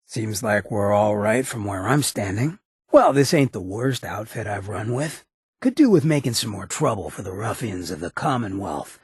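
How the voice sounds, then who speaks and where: Streetwise ghoul rogue for a video game: ragged gravelly rasp, animated wry delivery, dry close sound, swagger throughout. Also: male voice, mid-range voice, dry recording, heavily acted. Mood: warm, teasing. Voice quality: heavily raspy, gravelly. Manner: swaggering slangy drawl, wry and informal. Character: roguish, streetwise ghoul adventurer, irreverent, loyal to underdogs. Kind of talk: video game character acting.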